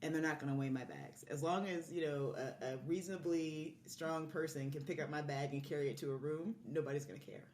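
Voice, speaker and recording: A woman's voice: sits low, steady tone, a little fast, hardly any pauses, a little mellow. A woman talking casually as a guest on a podcast. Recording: roomy, distant mic, faint noise.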